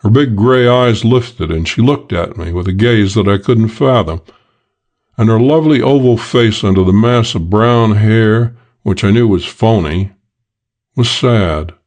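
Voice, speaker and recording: Male narrator, low register, for first-person hard-boiled detective fiction read from a script. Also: a little slow, a little mellow. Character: hard-boiled middle-aged male detective, gruff and unsentimental. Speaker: audiobook narrator.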